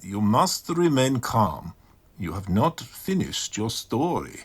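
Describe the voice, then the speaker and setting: A probing doctor of the mind in an audio drama. The delivery is slow and measured, with a husky grain and expressive rises and falls as he coaxes and doubts. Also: medium pitch, full character voice. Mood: probing, sceptical. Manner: measured, coaxing, sceptical. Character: calm, probing male psychiatrist questioning a patient.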